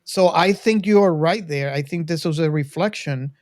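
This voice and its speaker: A man co-hosting a casual film discussion, reasoning aloud in a measured way. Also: on the high side. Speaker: movie discussion podcast co-host.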